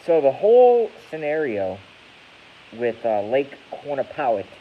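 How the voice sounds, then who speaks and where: A man's voice: medium pitch, sing-song, unhurried. A man in his thirties from Massachusetts, ranting casually on a live stream in a rambling, profane way that often corrects itself. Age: 30s. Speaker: YouTube streamer. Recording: dull lo-fi with faint hiss.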